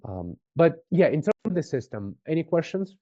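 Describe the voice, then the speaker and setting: Male sales rep, mellow delivery, conversational and question-led, dull compressed call audio. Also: on the high side, very animated, moderate pace, no echo. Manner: informal, questioning, checks in often. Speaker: sales representative for a marketing agency. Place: online video call.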